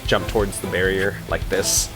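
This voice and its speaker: Man, fairly deep voice, casual gameplay commentary, unscripted musing, clear background game music. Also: little pitch movement, normal speed, rough recording. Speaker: gaming streamer.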